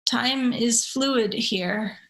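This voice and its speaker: A woman voicing a broken, remorseful man in torment in a story, halting and weary. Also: on the high side, a bit bright, heavily acted, poor audio. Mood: weary knowing. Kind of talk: literary story reading.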